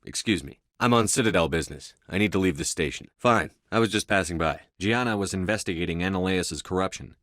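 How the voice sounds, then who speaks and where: An actor playing a stern, authoritative military space commander in a video game, with clipped, controlled, matter-of-fact delivery. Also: male voice, deep voice, fairly quick, hardly any pauses, fairly bright tone, no room sound, studio quality.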